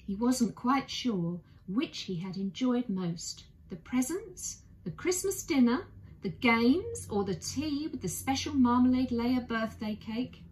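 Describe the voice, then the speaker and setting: A woman's voice: on the high side, lively intonation, takes it slowly, a little mellow, poor audio. A woman narrating a children's bedtime story expressively, as a storyteller.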